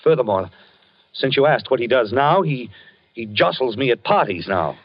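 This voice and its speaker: Haunted obsessed husband, husky, lively swings in pitch, old lo-fi radio sound. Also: male voice, moderate pace. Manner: tense, brooding, jumpy. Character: haunted, obsessed husband Harry, middle-aged architect. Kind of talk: 1940s radio drama acting. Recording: dull old radio broadcast.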